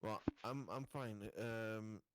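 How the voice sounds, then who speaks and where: A man playing a tabletop game, talking casually and thinking aloud in halting phrases. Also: lowish voice, steady tone, very noisy.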